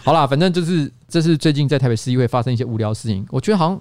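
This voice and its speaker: A man's voice: medium pitch, rapid-fire, no room sound, clean studio sound. A man with a Taiwanese accent hosting a casual, comedic chat podcast, telling stories and acting out other people's reactions. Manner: animated storyteller who acts out quoted reactions. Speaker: podcast host and local politician.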